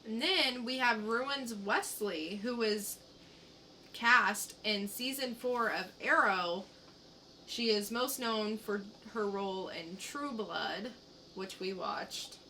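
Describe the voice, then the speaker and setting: A woman with a bright voice co-hosts a casual pop-culture chat. She takes her time over the news items, and faint background noise is audible on the recording. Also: mid-range voice, slow, low-quality recording. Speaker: podcast co-host.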